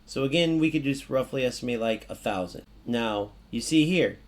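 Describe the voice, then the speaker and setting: A young man with a raspy voice that keeps cracking, casually talking through a video game's trading market as a channel host, with lots of fillers and "right?" checks. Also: mid-range voice, animated, moderate pace. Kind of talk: casual gameplay commentary.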